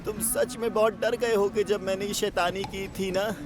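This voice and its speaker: A woman voicing a young male diesel train, mischievous but guilt-ridden, boyish and expressive, in a Hindi children's cartoon. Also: mid-range voice, moderate pace, close to an everyday voice. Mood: downcast. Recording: background music under the voice.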